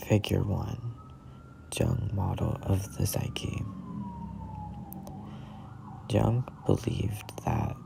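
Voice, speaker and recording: A person in their twenties reading a book aloud as a whispered ASMR read-along, in halting, deliberate phrases. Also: low voice, vocal fry, noisy background. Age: 20s. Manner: halting, deliberate phrasing with stumbles over words. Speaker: reader of a self-help book.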